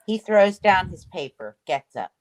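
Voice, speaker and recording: A woman's voice: lowish voice, very animated, unhurried, dry recording, rough recording. A woman reading stage directions as scripted narration for a play.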